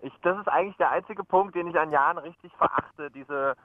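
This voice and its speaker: A man's voice: fairly high pitch, fairly quick, dry recording. A man calling in to a radio show, teasing the hosts in a dry, casual way from a windy spot outdoors. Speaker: radio caller. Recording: muffled phone line.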